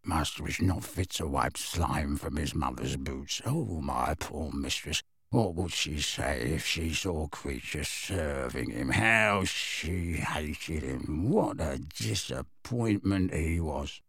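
A man's voice: dry recording, heavily acted. A man doing a bitter muttering old house-elf. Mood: muttering bitterly. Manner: low grumbling mutter, slow and resentful.

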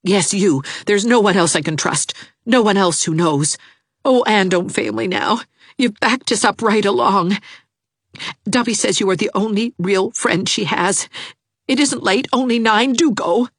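A woman's voice: mid-range voice, measured pace, no room sound, clean studio sound, pitched above the performer's own voice. A woman voicing an anxious young man pleading for help, with little change from her own voice. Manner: pleading, contemptuous of the dog.

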